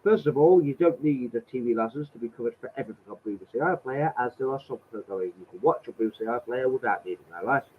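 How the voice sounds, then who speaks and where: A man's voice: medium pitch, expressive, fast talker, few pauses, a little hiss or hum. A man with a cold and a slightly nasal voice, sarcastically commenting as he reads out a letter. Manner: exasperated, sarcastic, swears. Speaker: vlogger reading out a letter. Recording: tinny phone-like audio.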